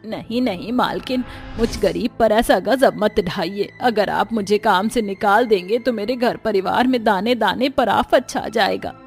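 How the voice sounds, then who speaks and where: A woman's voice: mid-range voice, a little slow, soft background music, natural voice. A woman voicing a poor, humble and weary old grandmother who works as a housemaid in a story. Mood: pleading, sorrowful.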